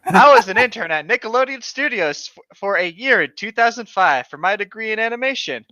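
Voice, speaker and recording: A man's voice: high-pitched, animated, brisk, pushed and bright, no room sound. A man co-hosting a comedy show, chatting casually and mockingly reading stories with sardonic, sweary jokes, on a lo-fi microphone. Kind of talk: casual banter and mocking read-aloud of internet horror stories. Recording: dull, lo-fi microphone sound.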